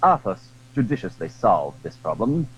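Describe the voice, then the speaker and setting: Animated male narrator of adventure fiction. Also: on the low side, moderate pace, slightly rough, lo-fi sound. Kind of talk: dramatic scripted storytelling of a classic adventure novel.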